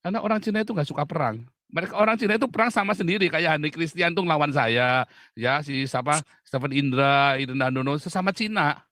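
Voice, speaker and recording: A man's voice: fairly high pitch, quick pace, a bit bright, no room sound, clean studio sound. A middle-aged man from Indonesia, a pastor hosting an outspoken talk, speaking bluntly and emphatically and laughing often. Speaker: pastor and host.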